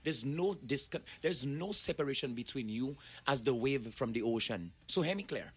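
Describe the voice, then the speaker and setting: A man's voice: mid-range voice, lively intonation, moderate pace, slightly rough. A man, giving an emphatic, preachy motivational talk as a guest and speaking straight to the listeners. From Saint Lucia. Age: 40s.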